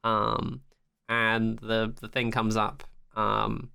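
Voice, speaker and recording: A man's voice: on the low side, level tone, very slow, gravelly, sharp tone, smooth. A man co-hosting a podcast, recapping a TV episode in casual, sweary chat peppered with "like". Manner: sweary, frequent "like", acts out quotes. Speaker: podcast co-host.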